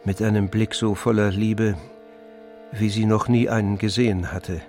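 A man narrating a literary radio drama in a measured, grave manner. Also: fairly low pitch, flat delivery, darkish tone, faint music behind.

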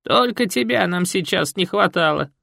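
A man reading an audiobook, voicing a cantankerous elderly village woman who scolds slowly and rudely. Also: high-pitched, expressive, creaky, no room sound, studio quality, pitched above the performer's own voice, full character voice. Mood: scornful. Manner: slow, grumbling and sharp. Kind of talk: audiobook character voice.

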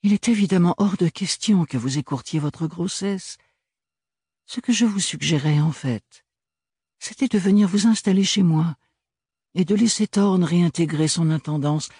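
A man's voice: high-pitched, moderate pace, a little mellow, slightly rough, no room sound, clean studio sound, voice pushed up. A man voicing a smug, ironic male ambassador who talks in an airy, mocking, sugary way.

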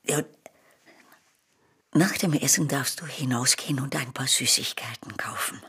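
An actress playing a tender, nervous, ailing mother in a radio drama, coaxing and affectionate in a soft, breathy voice. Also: rumbling low, unhurried, exaggerated voice. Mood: gently amused.

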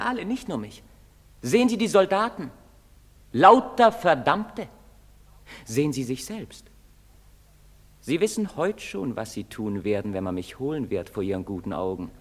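An actor playing a bitter, despairing young outcast in a German stage drama, declaiming in insistent short phrases. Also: male voice, medium pitch. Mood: accusing, fatalistic. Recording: reverberant theatre stage recording.

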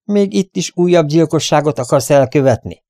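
A man voicing a domineering, angry western bandit boss with a gruff, bullying, deceitful manner. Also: medium pitch, darkish tone, dry recording, studio quality, natural voice.

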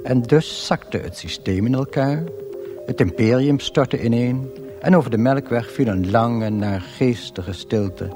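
An actor playing a grandiose, deadpan narrator of a galactic guidebook in a scripted radio comedy. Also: male voice, mid-range voice, a little slow, lightly acted. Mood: wry, grandiose. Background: music.

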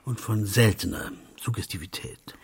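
An actor playing a wry narrator of a wicked underworld tale in a literary radio play, his voice rough and his delivery savouring. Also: fairly low pitch, moderate pace, exaggerated voice. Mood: dry, savouring.

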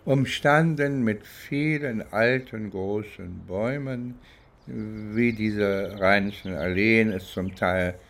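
A man from East Germany, middle-aged or older, recollecting in a deliberate, halting way as he searches for words in an interview. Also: mid-range voice. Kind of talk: reflective spoken recollection.

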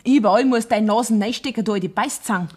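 Brazen young Bavarian farm woman, high-voiced. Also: fast talker, a little mellow, slightly rough. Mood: defiant, smug. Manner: insolent, self-assured. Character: brazen, scheming young farm mistress Froni. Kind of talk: German dialect radio drama acting.

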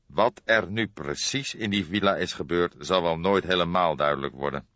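A man reading a crime story as an audiobook narrator, in a measured storytelling manner. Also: low-pitched, a bit bright, dry recording, lo-fi sound.

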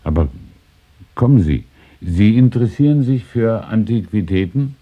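An actor playing a courteous, wistful old antique shopkeeper in a radio drama, speaking haltingly and confidingly. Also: male voice, lowish voice, expressive, narrow, tinny audio. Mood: wistful, polite. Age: older. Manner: halting, polite, confiding, chuckling old-man speech. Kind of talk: 1970s German radio drama acting.

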